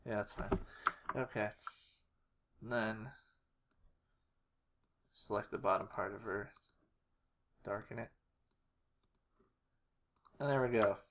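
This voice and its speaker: Someone giving an informal editing walkthrough, talking haltingly and muttering to themselves while working. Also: medium pitch, steady tone. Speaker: tutorial creator. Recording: noisy home recording, keyboard and clicks. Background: mouse clicks and keyboard typing.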